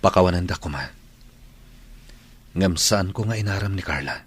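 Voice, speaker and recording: A man with an Ilocano Filipino accent acting a humble, apologetic young employee in a radio drama, with a husky voice. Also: deep voice, normal speed. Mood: apologetic, pleading.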